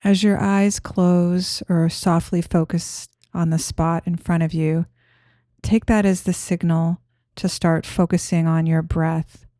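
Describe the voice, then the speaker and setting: A woman guiding a meditation in a gentle, soothing, unhurried manner, giving simple instructions. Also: fairly low pitch, little pitch movement, no echo, clean studio sound. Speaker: meditation guide.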